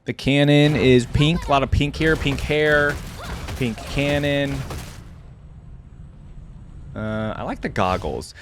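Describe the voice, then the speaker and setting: A man's voice: medium pitch, slow pace, pauses often, noisy background. A man giving casual, off-the-cuff reaction commentary, thinking aloud in a chatty way with informal slang. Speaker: host of a reaction video.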